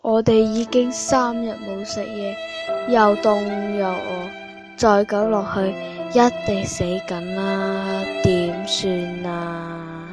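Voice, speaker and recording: Slow, plaintive starving thief, acted by a woman, over music. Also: medium pitch, flat delivery, lightly acted. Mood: despairing. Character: starving, desperate, bossy petty thief. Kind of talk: stage play acting.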